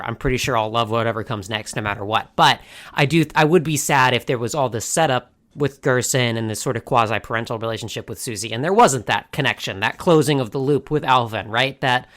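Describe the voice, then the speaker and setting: A man's voice: medium pitch, fairly bright tone. A man co-hosting a podcast, agreeable and thoughtful, hedging and repeating words as he talks. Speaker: podcast co-host. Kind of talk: casual fandom chat.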